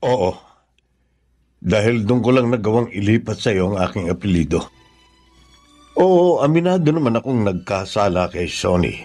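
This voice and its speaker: An actor playing a remorseful but firm middle-aged father in a Filipino radio drama, speaking solemnly and deliberately. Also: on the low side, animated, a little mellow, soft background music.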